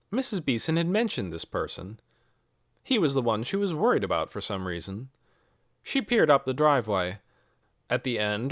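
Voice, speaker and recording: Guy reading a kids novel audiobook, really animated pitch, dry close sound. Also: no room sound. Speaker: audiobook narrator. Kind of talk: scripted narration of a children's novel.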